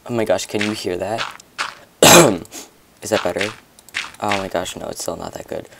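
A hoarse young man talks his way through a video game, rambling and chatting to viewers as he plays. His voice has a gravelly, croaky edge. The recording carries a clear hiss of noise underneath. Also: low-pitched, fairly even intonation, moderate pace. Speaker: gameplay commentator. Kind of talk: casual gameplay commentary.

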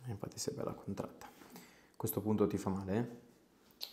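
A man, reassuring and informal as he gives a patient gentle instructions. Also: lowish voice, steady tone, normal speed, slight background noise. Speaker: chiropractor. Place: small clinic treatment room. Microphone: clip-on lapel mic.

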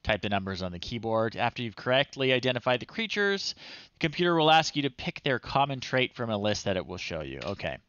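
A man's voice: mid-range voice, big pitch swings, fast talker, a bit bright, no echo, muffled recording. A middle-aged man casually commentating a live game stream, reading screen text aloud in a wry, off-the-cuff way, close on the mic. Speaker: retro game streamer, host. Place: small indoor room, livestream setup.

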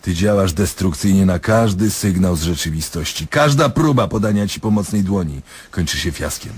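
An actor playing a diabolical male doctor in a radio drama, sardonic and domineering, drawling words for emphasis in a husky voice. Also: sits low, animated, normal speed. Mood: scornful, berating. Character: diabolical, mocking male doctor toying with his patient.

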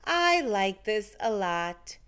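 A woman voicing a shivering, cheerful, childlike male snowman in a storybook, exclaiming with drawn-out, dramatic words. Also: medium pitch, very animated, fairly bright tone, smooth. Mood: pleased.

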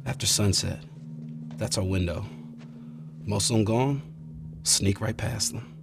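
Low-voiced, streetwise young American survivor. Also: male voice, measured pace, creaky, over music. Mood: confident. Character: wary, protective young man surviving with his kid brother. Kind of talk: video game drama dialogue.

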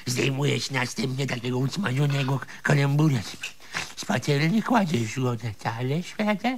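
A man's voice: medium pitch, moderate pace, noisy background, natural voice. An actor playing an eccentric, eager old grandfather who rambles, mumbles and repeats himself in a radio comedy. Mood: rambling, playful. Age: older. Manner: rambling, repeats himself, keeps adding tag words.

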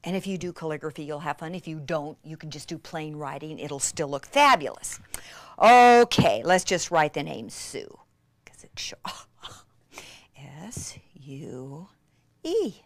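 A woman giving an enthusiastic hands-on craft demonstration, gushing and excitable, with breathy gasps and drops into near-whispers. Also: low-pitched, measured pace, no room sound. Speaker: craft instructor.

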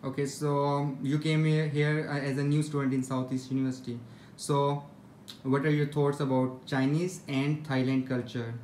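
A man in his twenties with a Pakistani accent, talking haltingly and casually as a student vlogger. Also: a little high, little pitch movement, a little mellow. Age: 20s. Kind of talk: casual vlog narration and interviewing. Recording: echoey rooms, faint background noise.

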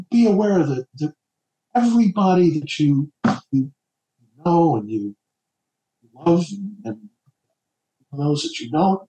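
A middle-aged man from New Jersey, chatting as a podcast guest in a halting way and often stumbling over repeated words. His voice sits a little high for a man. It is recorded in an echoey room. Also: dark tone. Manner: halting, with many stammered repeats. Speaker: author guest. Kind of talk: casual podcast chat.

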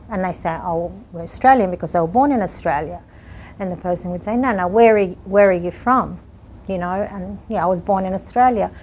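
Earnest woman from Lebanon, low voice. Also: darkish tone, slight background noise. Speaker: community worker. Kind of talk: reflective sit-down interview. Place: indoor room with curtains.

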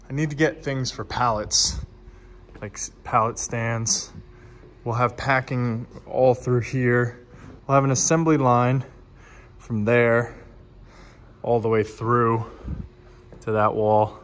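A man's voice: medium pitch, fairly bright tone. A young man in his twenties filming himself with a phone at arm's length in an empty warehouse, thinking aloud with fillers and restarts. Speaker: small business owner vlogging. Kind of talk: casual vlog narration. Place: empty warehouse and office, indoors. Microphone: phone camera held at arm's length.

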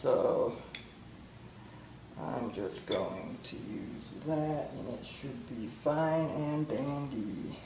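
A man casually explaining a motorcycle grip install while working in his garage, talking slowly with many fillers. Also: medium pitch, gravelly. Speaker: motorcycle vlogger. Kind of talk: casual how-to narration. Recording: echoey garage with faint ambient noise.

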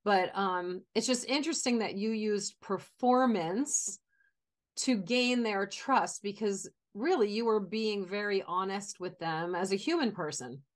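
An older woman with a medium-pitched voice hosts an interview, speaking in an encouraging, conversational way. She talks over a video call from a home office. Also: a little slow. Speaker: host. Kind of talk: interview chat.

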